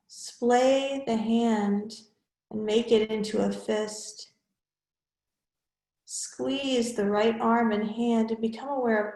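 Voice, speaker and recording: A woman yoga teacher leading a guided relaxation in measured, instructional short phrases with pauses between them. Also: on the high side, darkish tone, echoey room, poor audio.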